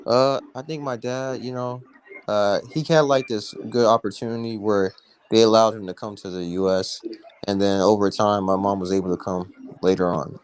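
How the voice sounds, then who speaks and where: A man raised in Texas, co-hosting a casual boxing chat, talking hesitantly with many pauses. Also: fairly low pitch, fairly even intonation, clean tone. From born in Nigeria, raised in Texas. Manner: hesitant, many fillers and restarts. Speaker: podcast co-host.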